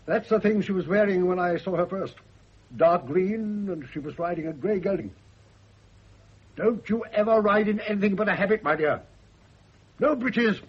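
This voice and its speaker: A man's voice: high voice, normal speed, pauses often, slightly rough. A man playing a domineering old British general in a radio drama, blunt, bossy and full of opinions. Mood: imperious. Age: older. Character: crotchety, domineering old retired general and father. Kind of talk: 1970s radio drama acting. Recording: dull lo-fi old radio recording.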